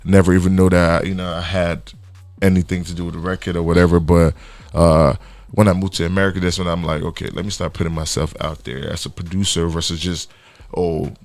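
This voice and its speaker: A man with a Nigerian accent speaks in a very low voice in a dry, close radio studio. He talks casually as an interview guest, tripping over and repeating words as he gathers his thoughts. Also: little pitch movement, darkish tone, no echo. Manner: halting, repeats words and restarts often. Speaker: guest musician.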